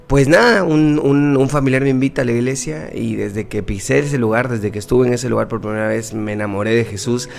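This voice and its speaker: A man's voice: mid-range voice, a little fast, gravelly, hardly any pauses, slight background noise. A young Guatemalan man with a Guatemalan Spanish accent, a musician guest earnestly telling his faith story in a casual interview, often repeating words.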